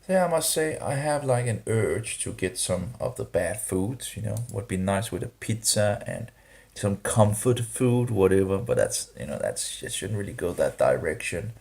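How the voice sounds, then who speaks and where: A man talking through a rambling casual vlog, halting and full of restarts, with grumbling disapproving asides. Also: on the low side, animated, gravelly, a little mellow. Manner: hesitant, halting, many restarts and fillers. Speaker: vlogger.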